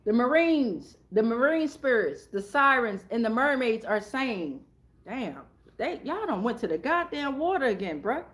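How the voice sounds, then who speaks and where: A woman with a fairly high voice leads a casual, improvised spiritual card reading. She is blunt and slangy, swears freely, and repeats phrases to drive them home. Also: big pitch swings, a little slow. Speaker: tarot reader hosting a reading.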